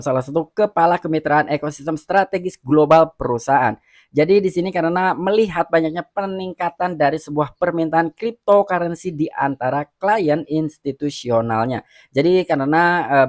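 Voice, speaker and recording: Chatty man, slightly high voice. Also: no room sound, studio quality. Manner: chatty, many fillers like "gitu ya" and "nih". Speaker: crypto YouTuber. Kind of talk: casual explainer reading and commenting on news.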